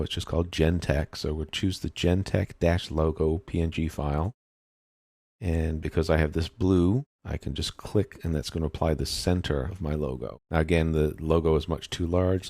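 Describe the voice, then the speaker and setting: A man giving a casual, step-by-step software tutorial, explaining informally and thinking aloud as he works. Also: low-pitched, creaky, no echo, clean studio sound.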